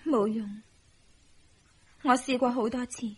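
Radio drama young wife: self-sacrificing, sorrowful, Hong Kong Cantonese accent, high-pitched, breathy delivery. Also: female voice, fairly even intonation, slow, a little mellow, heavily acted. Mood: sad, pleading.

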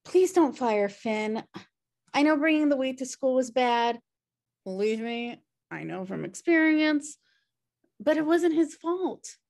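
A woman's voice: high voice, expressive, takes it slowly, creaky, a little mellow, exaggerated voice. A woman playing a messy, guilt-ridden, wry sister in a sitcom table read, rambling hesitantly. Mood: pleading, guilty. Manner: rambling, hesitant, self-deprecating. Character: messy, guilt-ridden, wry younger-at-heart woman pleading for her brother. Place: home room on a video call.

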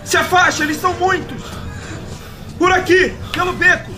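A Brazilian man playing a panicked, protective uncle who shouts urgent commands in a video game fan dub. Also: very high voice, steady tone, moderate pace, music in the background. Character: panicked, urgent younger uncle guarding his family.

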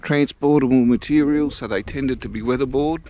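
A man giving a relaxed, casual walking tour outdoors, thinking aloud as he goes. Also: mid-range voice, normal speed, dull audio. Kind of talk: casual walk-and-talk narration. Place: outdoors walking through a small country town.

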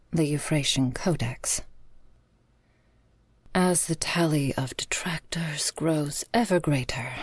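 A woman playing a weary, imperious vampire mistress in a gothic audio roleplay, speaking formally and haltingly with a breathy voice. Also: deep voice, a little mellow, no room sound, full character voice.

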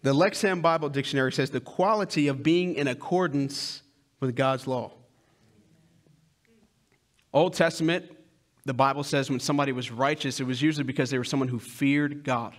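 A man in his thirties preaching a sermon on a church stage through a headset mic, with loud, emphatic lines and hushed asides. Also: medium pitch, moderate pace, pauses often. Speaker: pastor.

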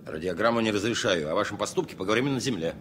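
An actor playing a stern, curt airliner captain in a 1970s Soviet radio play, clipped and authoritative. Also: male voice, lowish voice, lively intonation, very fast, fairly bright tone. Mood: stern, irritated. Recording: noisy cockpit in old radio play.

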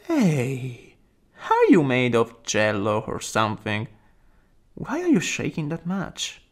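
A man playing a rude young school bully who is secretly smitten, in an ASMR roleplay, cocky and prone to fluster, with a gravelly, husky voice. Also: medium pitch, huge pitch swings, slow, heavily acted. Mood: gruff, apologetic. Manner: cocky taunts breaking into stammering, breathy asides.

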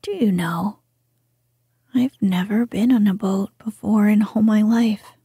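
A woman voicing a shy, wide-eyed young male mole, speaking in a soft whisper. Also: mid-range voice, unhurried, husky, no room sound, studio quality. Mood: shy wonder. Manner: whispered, timid.

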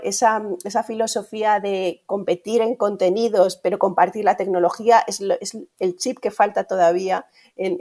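A woman's voice: medium pitch, mellow. A middle-aged woman with a Castilian Spanish accent explaining things conversationally in an interview over a video call, often ending phrases with tag questions. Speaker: guest, radio industry manager. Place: indoor room at home, on a video call.

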